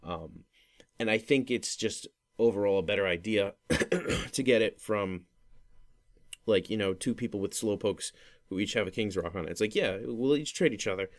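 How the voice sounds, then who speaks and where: Casual young male podcast host, slightly low-pitched, loose and rambling delivery full of fillers. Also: normal speed. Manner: rambling, many fillers and self-corrections. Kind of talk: casual solo talk about a video game.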